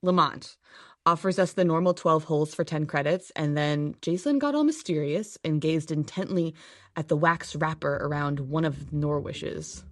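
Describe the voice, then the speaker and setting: A woman's voice: low-pitched, moderate pace, no echo, studio quality, natural voice. A woman playing a practical, assertive young traveler, matter-of-fact and natural, in a science fiction audio drama.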